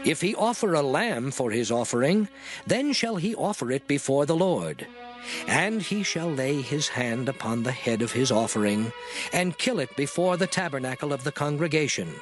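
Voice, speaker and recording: A man's voice: mid-range voice, very animated, normal speed, close to an everyday voice. Guy acting as solemn bible lawgiver voice, declaiming scripture, really varied intonation, music behind. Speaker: scripture reader. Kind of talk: dramatized Bible reading. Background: music under the voice.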